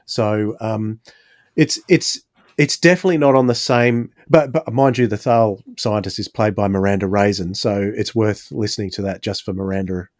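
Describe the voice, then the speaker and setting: A man with a fairly deep voice hosts a relaxed, informal review podcast. The recording is dry and close, and he talks in a conversational, explanatory style. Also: moderate pace, no room sound. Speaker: podcast host. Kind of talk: casual audio drama review chat.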